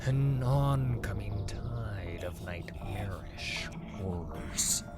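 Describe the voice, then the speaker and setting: A man narrating a fantasy story in an intense, dramatic scripted style, dropping at times into hushed tones. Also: fairly low pitch, fairly even intonation, very slow. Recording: music under the voice. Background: music.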